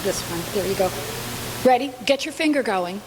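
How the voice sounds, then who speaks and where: A woman's voice: medium pitch, expressive, moderate pace, background noise. A woman casually giving instructions to an all-ages audience, coaxing them and demonstrating how to respond. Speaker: storybook fairy helper leading audience responses. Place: live gathering before an audience.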